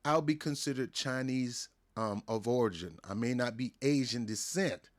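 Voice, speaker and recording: A man's voice: medium pitch, moderate pace. An American man hosts his own wrestling show in a loose, opinionated rant. He repeats his points for emphasis and stumbles into restarts as he pushes on, sounding like a younger adult talking off the cuff. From United States. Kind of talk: casual opinion rant to camera.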